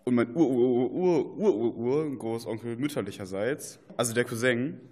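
A man's voice: medium pitch, moderate pace, darkish tone, close to an everyday voice. Man playing a rambling, muddled little dragon. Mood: flustered, rambling. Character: little chatty dragon, muddled aspiring double bass player. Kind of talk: children's radio play.

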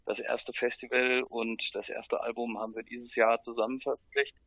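A man's voice: mid-range voice, level tone, moderate pace, dry recording. Phone-like male interview guest. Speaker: interview guest, band musician. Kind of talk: casual interview chat. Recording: tinny, phone-like line.

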